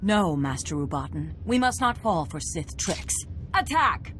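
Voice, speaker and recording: A woman's voice: fairly low pitch, big pitch swings, unhurried, background noise. An actress playing a stern, intense female Jedi with a secret in a video game. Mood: defiant.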